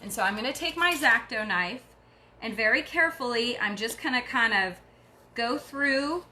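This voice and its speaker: A tired middle-aged woman talks viewers through a craft project in an easy, encouraging way. Her voice is bright and a little high, with a faint hiss of noise under it. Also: animated, normal speed. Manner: chatty and encouraging, talks while working. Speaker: craft tutorial host. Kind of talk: casual craft demonstration.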